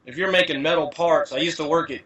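Bright-voiced man, distant from the mic. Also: a little high, fast talker, muffled recording. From USA. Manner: rambling, many "you know" fillers, wordplay explanations. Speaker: guest. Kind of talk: casual conversational interview.

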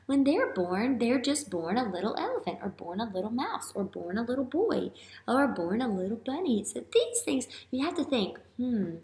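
A woman in her thirties, a teacher reading a picture book aloud to young children and asking them questions with drawn-out emphasis. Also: on the high side, sing-song, darkish tone. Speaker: elementary school teacher. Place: small classroom-like room, indoors. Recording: roomy indoor echo.

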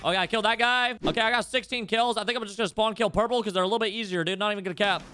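A hyped man in his twenties with a very high, bright voice for a male. He races through slangy, boastful gameplay commentary, with faint music underneath. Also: fairly even intonation, rapid-fire. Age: 20s. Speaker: gaming streamer.

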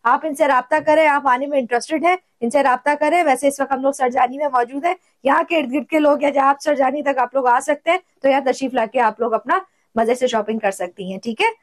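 A woman's voice: high-pitched, fast, no echo. A woman from Pakistan, hosting a casual shopping vlog and chatting eagerly with a seller. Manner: chatty, eager, narrates to viewers. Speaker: host.

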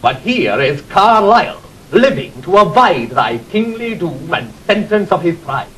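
A formal young lord declaims in classical Shakespearean style. His voice is high and swings widely, over a faintly noisy old recording. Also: very animated, a little slow, pushed and bright, exaggerated voice. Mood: proud, formal. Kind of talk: classical Shakespearean radio acting.

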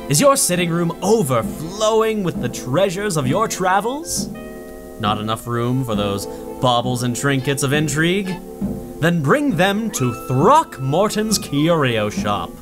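An actor playing an old-time radio announcer reading an ad and introducing the story. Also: male voice, fairly high pitch. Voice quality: theatrical, salesy. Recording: radio broadcast with music beneath.

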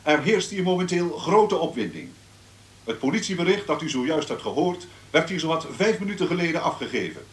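An actor playing an earnest radio field reporter giving an on-the-spot news report in a radio drama. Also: male voice, on the high side, sing-song, a little fast. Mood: urgent, reporting. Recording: echoey, noisy location-report sound.

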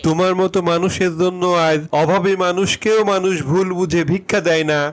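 A man's voice: sits high, fairly even intonation, fairly quick, very noisy, lightly acted. A man playing an irritable younger householder in a funny cartoon, grumbling and challenging.

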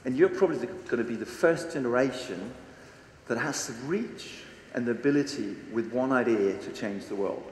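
A man's voice: medium pitch, moderate pace, a little hiss or hum. Blunt Danish man in an echoing hall. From Denmark. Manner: casual and blunt, swears freely, quizzes the audience. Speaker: conference speaker. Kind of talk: energetic stage talk. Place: large hall with a seated audience. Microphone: handheld microphone on stage. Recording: echoey hall with long reverb, faint ambience.

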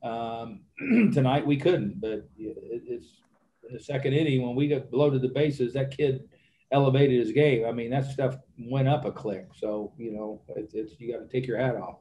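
Older man, halting post-game answers, mellow. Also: medium pitch, slight background noise, rough recording. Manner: halting, repeats words, trails into fillers. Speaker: baseball manager. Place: press conference room with backdrop. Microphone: desk microphone.

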